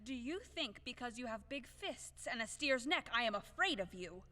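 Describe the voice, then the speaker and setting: An actress playing a proud, fiery widow who is easily offended, haughty and melodramatic, in an audio play of a classic farce. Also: female voice, a little high, very animated, moderate pace, pushed and bright, in a large hall. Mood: furious, defiant.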